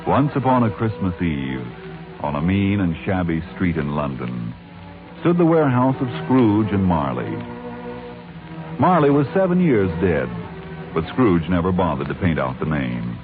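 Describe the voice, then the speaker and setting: A man narrates with dramatic, declamatory phrasing in a 1940s radio style. His lowish voice moves expressively over prominent music, with the narrow, tinny sound of an old broadcast. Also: sing-song, unhurried. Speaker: announcer and narrator.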